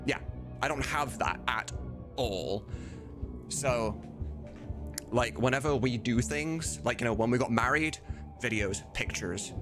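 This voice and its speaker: A man's voice: mid-range voice, measured pace, long pauses. A man chatting casually on a livestream, talking candidly in a rambling way and swearing now and then. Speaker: streamer. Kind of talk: casual livestream chat answering viewers. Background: music.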